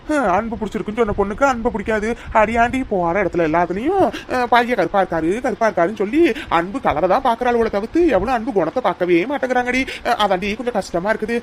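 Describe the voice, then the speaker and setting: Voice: medium pitch, slight background noise, natural voice. A performer voicing a brave, talkative middle-aged village woman. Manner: chatty, scolding, quick.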